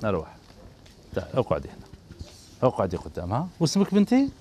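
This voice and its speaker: A gentle, encouraging man with an Algerian Arabic accent hosts a children's TV show from an indoor studio set, holding a handheld mic. His voice moves lively up and down as he coaxes the kids, and a faint hiss sits under it. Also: on the low side, fast. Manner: gentle, encouraging, repeats praise over and over. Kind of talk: coaxing kids on stage.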